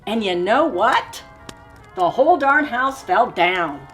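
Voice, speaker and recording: A woman's voice: fairly high pitch, lively intonation, takes it slowly, fairly bright tone, soft background music. A woman reading a storybook as a wily male wolf who chattily and theatrically defends himself. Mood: animated, matter-of-fact. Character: wily, self-justifying male wolf telling his side. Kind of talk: storybook read-aloud in first person.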